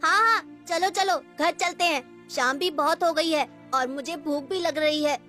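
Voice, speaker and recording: A woman acts a well-off child in a kids' cartoon. The voice is very high, chatty and a little bossy, with music behind it. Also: a little slow, pushed and bright. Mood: eager. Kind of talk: cartoon story character voice.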